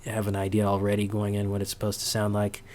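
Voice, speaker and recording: Low-voiced man giving a halting tutorial. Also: monotone, a little mellow, slight background noise. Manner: halting, thinking aloud. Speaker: sound designer giving a tutorial. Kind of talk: informal unscripted tutorial.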